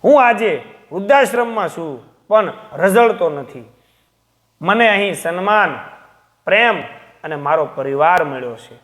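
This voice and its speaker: A man voicing a self-respecting elderly father in a story, with a measured, dignified manner. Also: high voice, lively intonation, fairly bright tone, big-room sound. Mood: contented.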